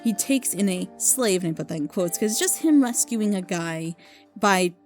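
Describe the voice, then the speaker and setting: A woman's voice: medium pitch, lively intonation, moderate pace, a little mellow, soft background music. A woman giving casual, unscripted recommendations in an informal, gushing, rambling way, as a reviewer of audio dramas.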